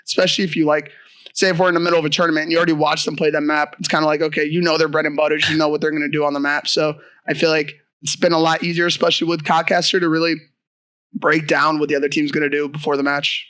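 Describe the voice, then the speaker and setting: The fairly high-voiced guy coach on a gaming round-table podcast, chatting through tactics in a very dry-sounding setup. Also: little pitch movement, racing, a bit bright, smooth, no echo. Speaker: esports coach. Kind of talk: casual round-table discussion.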